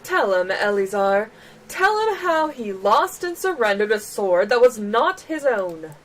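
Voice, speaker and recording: A woman's voice: fairly high pitch, very animated, a little slow, low-quality recording. A woman voicing a stern, indignant game character in formal, declamatory, scornful tones. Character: stern, indignant accuser scolding a dishonest braggart.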